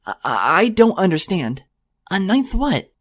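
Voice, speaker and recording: A man voicing a kind, refined grown mare pony who fusses over a stranger in a gentle, breathy manner. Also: sits high, sing-song, slow, pitched above the performer's own voice, exaggerated voice. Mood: alarmed. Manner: gentle, fussing, breathy whispers.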